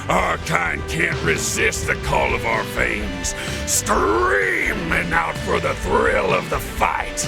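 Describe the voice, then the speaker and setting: A battle-crazed demon racer from a video game, with a very gravelly voice that swoops wildly up and down. He taunts theatrically, cackles and drags his words out very slowly, with music behind him. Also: on the high side, full character voice. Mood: manic, taunting.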